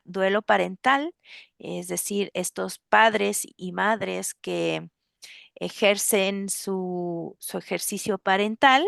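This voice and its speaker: A woman hosting an interview, earnest and pausing often with fillers. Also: medium pitch, creaky, fairly bright tone, no echo, clean studio sound. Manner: earnest, with many filler pauses. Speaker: host.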